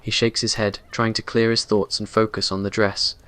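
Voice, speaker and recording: Guy narrating a romance asmr story, low voice, pretty flat intonation, brooding and earnest. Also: normal speed. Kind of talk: scripted dramatic narration, romantic ASMR roleplay.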